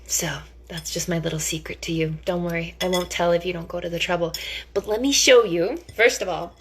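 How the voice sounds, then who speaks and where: A woman's voice: fairly low pitch, a bit bright, a little hiss or hum. A woman giving a casual cooking demonstration in a chatty, theatrical, playfully enthusiastic way.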